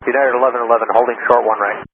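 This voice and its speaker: A man's voice: medium pitch, little pitch movement, very fast, slightly rough. A man speaking as an airline pilot, making terse readbacks over cockpit radio. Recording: noisy, narrow-band aircraft radio transmission.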